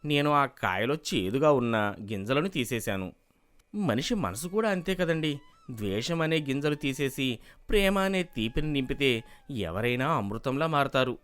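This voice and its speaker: Humble male cook, acted by a man, respectful, earnest, gently philosophical, animated storybook dialogue. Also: mid-range voice. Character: humble, wise, devoted male household cook.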